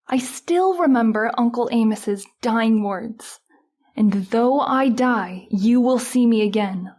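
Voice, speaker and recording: Radio horror drama: actress as fearful, cautious woman of a haunted house, highish voice. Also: slow pace, a little mellow. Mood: frightened. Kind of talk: old-time radio horror acting.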